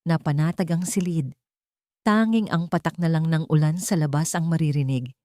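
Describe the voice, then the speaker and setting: A woman's voice: deep voice, normal speed, dark tone, no echo, clean studio sound. A woman storyteller reading scripted narration of a dramatic love story.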